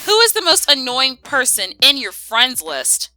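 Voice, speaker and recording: A woman chatting casually as she answers viewer questions, animated and slangy, given to exclaiming. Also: high-pitched, unhurried. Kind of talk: casual chat Q&A.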